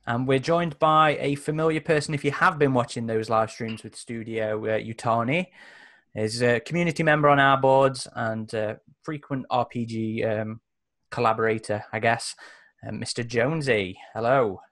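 A man's voice: mid-range voice, normal speed, a bit bright. Man casually hosting a gaming stream. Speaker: podcast host.

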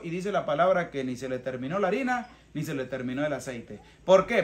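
A man in his thirties with a Venezuelan accent, a priest giving a plain, colloquial homily in an emphatic, teaching manner with rhetorical questions. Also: high voice. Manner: emphatic, didactic, asks questions and answers them himself. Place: small rustic wooden room or shelter. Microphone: clip-on lapel mic.

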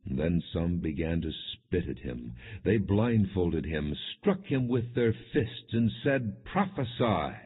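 A low-pitched man narrates scripture in a scripted audiobook style, solemn and measured. His voice has a husky grain, and the recording is muffled and thin, like a phone line. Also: animated. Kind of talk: scripted scripture narration, non-dramatized reading. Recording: muffled, narrow phone-like sound.

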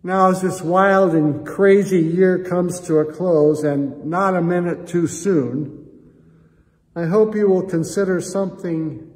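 An older American man, a pastor, speaking solemnly and earnestly in measured phrases as he leads prayer and appeals to a congregation. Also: high voice, a little mellow. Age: 60s or older. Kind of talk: church prayer and offering appeal. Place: church sanctuary, indoors. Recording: reverberant church hall echo.

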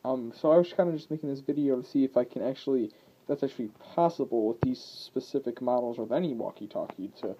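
A man giving a casual, rambling product demonstration, talking in a hesitant, halting way that often trails off. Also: mid-range voice, husky. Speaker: amateur video maker. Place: indoors, at a wooden table. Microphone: handheld camera's built-in microphone. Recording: dull lo-fi camera audio with noise and clicks.

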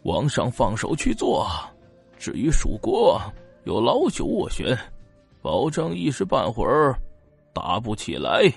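A gravelly, slow old chancellor. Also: male voice, mid-range voice, wildly swooping pitch, faint music behind, full character voice. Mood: grave resolve. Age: older. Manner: slow, solemn, courtly. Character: aged male chancellor, weary, grave and resolute. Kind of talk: audiobook drama acting.

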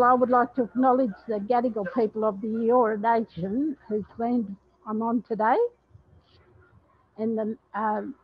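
An older Australian woman chef chats about her recipes in a plain, homely way, pausing and filling gaps with ums. Her voice sits a little high. The sound is dry and a bit dull, close on a headset mic. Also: slightly rough, dry recording. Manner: warm, plain-spoken, many ums and pauses. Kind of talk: casual cooking talk to a webinar.

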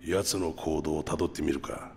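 An actor playing an imposing older underworld boss in a video game drama, commanding and rough in his deliberate speech. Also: a bass voice, steady tone, darkish tone, a little hiss or hum. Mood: proud, showing off. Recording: long cavernous reverb, music underneath.

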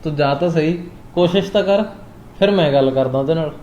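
A man's voice: a little high, brisk, warm tone, muffled recording, a little hiss or hum, lightly acted. A man playing an easygoing, reassuring friend. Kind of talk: family drama acting. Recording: echoey room.

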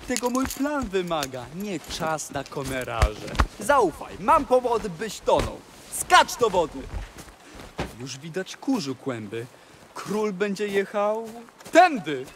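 A man's voice: high-pitched, very animated, slow pace, background noise, full character voice. A man playing a scheming, bossy talking cat in a rhymed fairy-tale radio play, declaiming urgently. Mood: urgent, commanding. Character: bossy, scheming, theatrical talking tomcat in boots.

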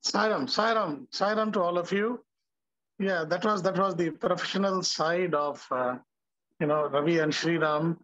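A dull, lo-fi video call carries a high-pitched middle-aged man with an Indian accent. He gives an informal devotional tribute, halting often and dropping in "okay" as he goes. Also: a bit bright. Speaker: guest speaker introducing musicians. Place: living room at home, on a video call. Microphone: device mic on video call.

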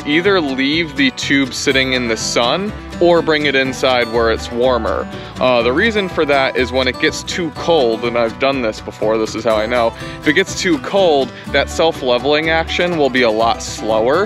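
A man in his thirties, a vlogger, talking casually to the camera he holds at arm's length while working outdoors on a camper roof. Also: mid-range voice. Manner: relaxed, practical, talks straight to camera. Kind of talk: casual explaining how-to vlog. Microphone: camera held at arm's length.

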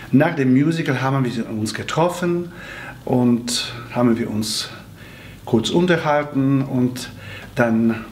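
A man in his forties from Poland, a native Polish speaker with a Polish accent, answering fan questions in a seated video interview in careful, slightly halting phrasing. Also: mid-range voice, slight background noise. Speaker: musical theatre actor answering fan questions. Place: small room with red curtain and patterned wallpaper, indoors.

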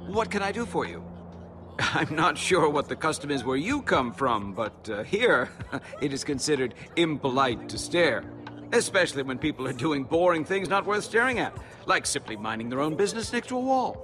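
An actor playing a nervous, evasive innkeeper in a video game, fussy, stammering and defensive. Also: male voice, on the high side, very animated, moderate pace. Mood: feigned politeness. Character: nervous, shifty, evasive male innkeeper with secrets. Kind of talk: animated game voice acting. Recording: loud music behind the dialogue.

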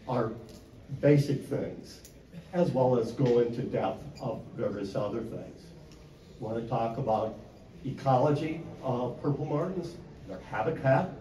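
A man's voice: medium pitch, distant mic, slight background noise. Older man lecturing in an echoey hall. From Wisconsin. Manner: loud, plain-spoken, many uh fillers. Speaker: conservation speaker. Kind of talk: convention presentation. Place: convention hall. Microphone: handheld mic held away from mouth. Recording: echoey hall, mic from afar.

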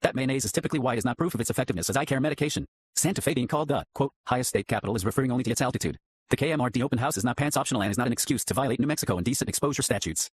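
A male announcer reads a comic legal disclaimer with a straight, formal delivery. He goes extremely fast in a husky, medium-pitched voice, recorded dry and close. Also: dry recording. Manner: formal, deadpan. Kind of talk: deadpan scripted mock disclaimer.

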